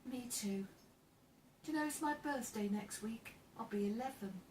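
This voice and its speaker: A woman voicing a sad, shy, gentle ten-year-old girl with a plaintive manner in a storybook reading. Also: on the high side, moderate pace, voice pushed up, close to an everyday voice. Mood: subdued, curious. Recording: roomy, distant mic, faint background noise.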